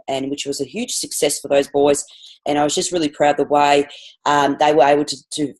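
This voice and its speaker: A woman's voice: sits low, darkish tone. Australian woman guest, gym teacher, eager, rambling, fillers and restarts. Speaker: guest, physical education teacher. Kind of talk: casual podcast interview.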